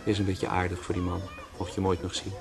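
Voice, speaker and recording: A drowning man in a radio drama, his voice on the low side. He rambles wryly and muses on death, with music prominent behind him. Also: monotone, fast. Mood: urgent, bitter. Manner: wry, musing, rambling monologue. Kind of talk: Dutch radio play acting.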